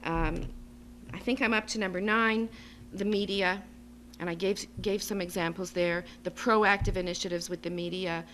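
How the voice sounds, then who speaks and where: A woman gives a semi-improvised public speech as an advocate, making her points emphatically and deliberately in short phrases. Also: fairly low pitch, fairly even intonation, fairly bright tone. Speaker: advocacy group speaker at a symposium. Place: symposium venue. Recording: noisy live speech recording with hum.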